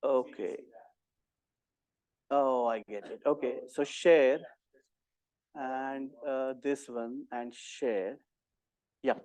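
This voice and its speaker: A man's voice: medium pitch, fairly even intonation, extremely slow, long pauses, a little mellow, dry recording. A man with an Indian accent teaching a workshop, explaining in a conversational way with many fillers and restarts. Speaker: workshop instructor. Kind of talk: lecturing to a workshop audience.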